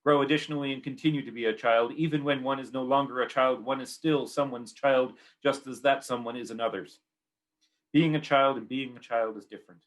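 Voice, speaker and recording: A man's voice: mid-range voice, steady tone, fairly quick. A man with a Canadian accent giving a poetry reading of his own work in short, clipped, repeating phrases.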